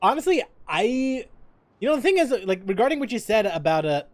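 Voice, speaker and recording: A man's voice: high voice, very animated, fairly quick, dry recording. A man giving casual gameplay commentary as a streamer, in a joking, rambling manner. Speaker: gaming streamer.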